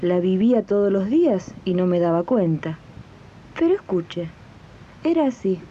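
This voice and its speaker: A woman's voice: lively intonation, moderate pace, dark tone, lightly acted. A woman playing a friendly working-class Argentine domestic worker in a 1980s radio drama, confiding directly in her fellow workers. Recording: muffled, noisy 1980s archive radio tape with rumble.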